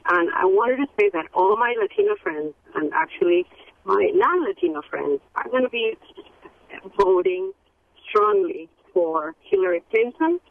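Creaky woman caller on tinny phone line. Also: mid-range voice, animated, moderate pace. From Argentina. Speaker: radio show caller. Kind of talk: giving an opinion on air.

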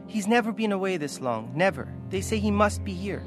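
A man's voice: high voice, lively intonation, a little fast, over music, voice pushed up. A man voicing a desperate mother who is searching for her teenage son, asking anxious, pleading questions.

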